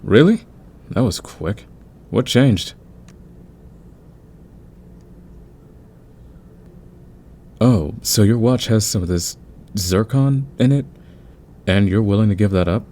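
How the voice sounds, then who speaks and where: Man acting deep, calm thief crew leader. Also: a little slow. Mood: surprised, considerate. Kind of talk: ASMR audio roleplay acting.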